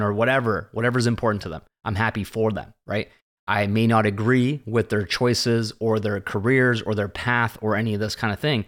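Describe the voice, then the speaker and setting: Man, late 30s, slightly low voice, rambling reflective monologue, podcast host, conversational. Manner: rambling and conversational, often tags "right?" and "this kind of thing".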